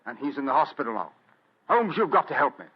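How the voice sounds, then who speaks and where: An actor playing an agitated, self-important wealthy gentleman, urgent and pompous, in old-time radio drama style. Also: mid-range voice, big pitch swings, quick pace, husky. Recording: dull vintage radio drama recording.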